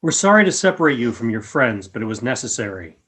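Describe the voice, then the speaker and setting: A polite alien speaking through a machine, played by a man in a radio drama. The male voice is mid-pitched, grave and formal, with measured phrasing. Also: big pitch swings, fairly bright tone. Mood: grave, courteous. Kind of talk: audio drama radio play acting.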